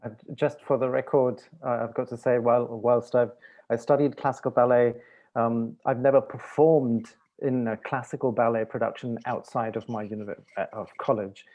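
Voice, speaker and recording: A man who grew up in Malaysia, a dancer and choreographer speaking as a panel guest, thoughtful and halting with many restarts. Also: mid-range voice, flat delivery, highs cut off. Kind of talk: panel discussion.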